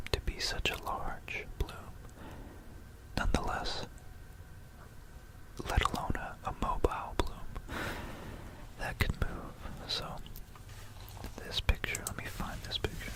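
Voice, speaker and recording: Asmr history narrator whispering real slow, kinda thinking out loud, right up on the mic. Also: poor audio. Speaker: ASMR presenter. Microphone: close stereo binaural microphone.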